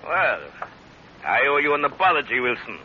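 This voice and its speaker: An actor playing a suave, menacing pirate chief in an old-time radio drama, with courtly, formal phrasing. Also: male voice, medium pitch, sing-song, normal speed, close to an everyday voice. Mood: silky, courteous. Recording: dull, hissy old radio recording.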